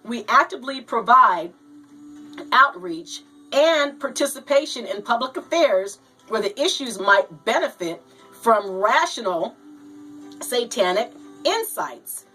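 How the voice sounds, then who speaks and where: A woman hosting a video show, slow and halting, a little hoarse from a cold, talking to viewers and reading aloud. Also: a little high, expressive, sharp tone, soft background music, rough recording. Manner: slow, halting, says "you know" and "now". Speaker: host.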